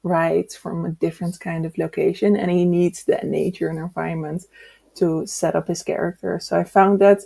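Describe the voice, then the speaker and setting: Mellow, slightly low-voiced woman chatting casually. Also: flat delivery, moderate pace, creaky, breathy edge. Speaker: book discussion co-host. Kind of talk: casual book chat.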